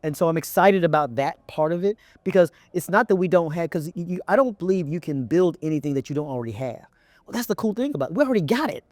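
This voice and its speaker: A man's voice: a little high, lively intonation, fast talker, a little mellow, dry recording. A middle-aged man from Texas with an American accent answers conversationally as an interview guest, repeating phrases for emphasis. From Texas, United States. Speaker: chief executive, interview guest. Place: indoor event interview set. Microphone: lapel mic.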